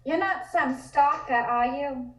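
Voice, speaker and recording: A woman's voice: high voice, takes it slowly, a bit bright. A woman playing a brusque, sassy 1920s flapper, curt and scornful, in a table read with roomy, distant sound. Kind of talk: comic period drama table read.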